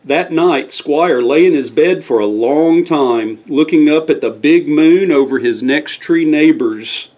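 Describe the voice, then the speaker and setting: A man's voice: on the high side, a little slow, few pauses, a little mellow. A man reading a scripted bedtime story aloud as the storyteller.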